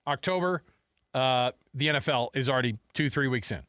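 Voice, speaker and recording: Bright, punchy male sports radio host. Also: medium pitch, a little fast, no echo, clean studio sound. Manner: argumentative, emphatic. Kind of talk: casual sports debate banter.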